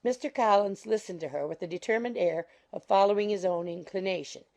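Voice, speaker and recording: Woman audiobook narrator, dark mellow tone, gravelly creak, classic novel narration. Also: medium pitch, a little fast.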